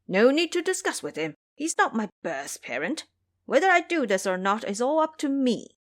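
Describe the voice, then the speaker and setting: A woman's voice: a little high, big pitch swings, normal speed, voice pushed up. A woman voicing a boastful, lazy, lustful male pig monster in an audio drama, pleading and bragging as he talks. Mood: defiant.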